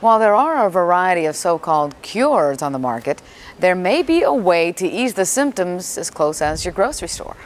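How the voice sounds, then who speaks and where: A woman's voice: fairly low pitch, very animated, moderate pace. A woman with an American accent reading scripted health news as a television anchor.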